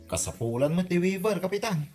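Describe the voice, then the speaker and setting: A man's voice: high voice, animated, a little fast, a little mellow, faint music behind. A man acting an earnest, aggrieved villager in an Ilocano comedy drama, with an Ilocano accent. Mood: insistent. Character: earnest villager, aggrieved over withheld prize money.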